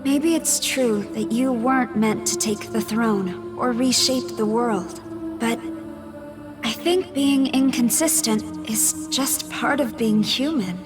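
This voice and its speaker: Slow-spoken, earnest young woman. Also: on the high side. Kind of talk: video game voice acting. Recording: dramatic music behind the voice.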